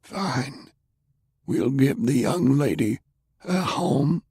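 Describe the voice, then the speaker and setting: A man performs a ruthless old war leader in an audiobook. The voice is strained and husky, lifted in pitch, with heavy gravel. Each phrase comes slowly and with defiant emphasis. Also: lively intonation, darkish tone, no echo, clean studio sound, heavily acted. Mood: resigned. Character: Pershing, ailing old male war leader, stern and ruthless. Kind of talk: audiobook character acting.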